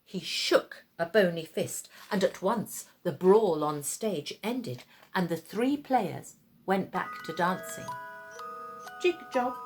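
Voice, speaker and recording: A woman reading a children's tale as a storyteller, in dramatic, expressive narration with deliberate phrasing and pauses. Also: mid-range voice.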